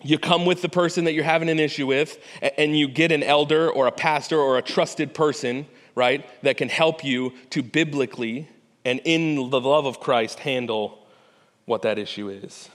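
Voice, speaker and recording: A man's voice: fairly high pitch, moderate pace. A man preaching a sermon as pastor, earnest and conversational, often restarting phrases and checking in with "right?". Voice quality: loud voice. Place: church sanctuary. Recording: hall reverb.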